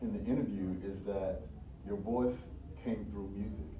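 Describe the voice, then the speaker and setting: A man interviewing a guest, earnest and admiring, pausing often with fillers. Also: lowish voice, fairly even intonation. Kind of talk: interview questions. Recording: roomy, distant mic, noticeable background noise.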